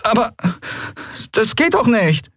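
A timid young crewman, stammering and anxious in a high voice, as a male narrator puts him on. The storybook reading stays close and dry. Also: sing-song, slow, a bit bright, no room sound, full character voice. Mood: frightened.

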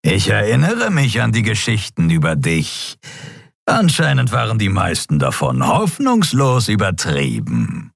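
A man voicing a menacing male alien cult leader who speaks slowly with a sneer. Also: lowish voice, wildly swooping pitch, vocal fry, breathy edge, no room sound, clean studio sound, exaggerated voice. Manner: slow, sneering.

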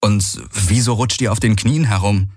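A man's voice: on the low side, normal speed, darkish tone, husky, no room sound, clean studio sound. A man voicing a bewildered, easygoing male visitor in an audiobook, with a colloquial, awkwardly friendly manner. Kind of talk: audiobook character acting.

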